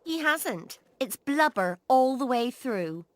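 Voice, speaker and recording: Sharp-tongued young woman, high, lively, slow, music under. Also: full character voice. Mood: teasing. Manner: blunt, teasing. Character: feisty young woman, blunt and mocking. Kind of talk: video game cutscene acting. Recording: game audio with music behind.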